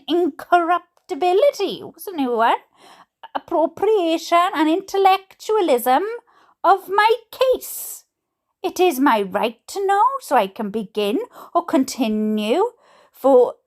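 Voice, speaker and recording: A woman with a Welsh accent reading aloud in a theatrical, playful way with asides of her own. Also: sits high. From Wales. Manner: theatrical, expressive, playful delivery. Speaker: host reading letters aloud.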